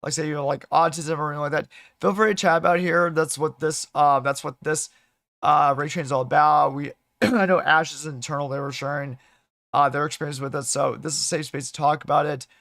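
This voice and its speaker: A man with a slightly hoarse voice chatting casually as a livestream host, in a halting way with repeated and restarted phrases. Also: a little high, steady tone, fairly bright tone, no room sound, studio quality.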